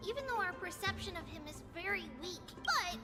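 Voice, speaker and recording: A woman's voice: extremely high pitch, animated, fairly quick. A woman voicing a tiny, cheerful childlike nymph who speaks of herself in the third person. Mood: chirpy. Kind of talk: video game voice acting. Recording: loud game music behind.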